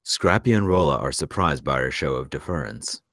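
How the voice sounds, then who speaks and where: A man with an extremely deep voice reads screenplay action lines as a narrator. The sound is close and dry, in a scripted table-read style. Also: expressive, normal speed, fairly bright tone, no room sound. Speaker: narrator reading stage directions.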